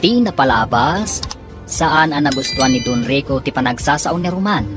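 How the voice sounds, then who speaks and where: A woman with a low voice introduces a serial radio drama over prominent music. Her scripted narration is theatrical and very animated in pitch. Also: normal speed.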